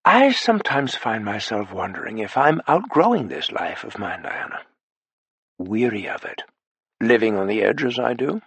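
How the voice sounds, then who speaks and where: A man's voice: on the low side, sing-song, moderate pace, fairly bright tone, breathy edge, no room sound, heavily acted. A man voicing a weary aristocratic male agent who turns urgent and clipped when alarmed.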